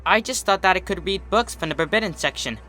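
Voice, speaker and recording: A man voicing an eager, naive little girl pony in a story reading, chirpy, childlike and excitable. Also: sits high, fast talker, voice pushed up. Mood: nervous, unsure. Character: eager, naive little filly pony, young female student.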